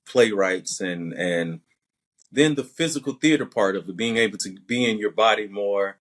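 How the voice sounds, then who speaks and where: A young man with a light Southern accent, an actor answering interview questions in a thoughtful, methodical way. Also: on the low side. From Alabama. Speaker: actor and podcast guest.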